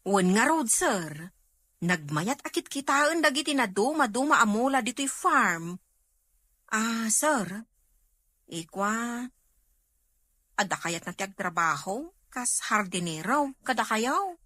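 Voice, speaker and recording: A cheerful young farm woman played by a woman in an audio drama. She is bright and animated, gushing and teasing, recorded close and dry. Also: fairly high pitch, slow, long pauses, dry recording, exaggerated voice. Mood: polite, deferential. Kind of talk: amateur Filipino audio drama acting.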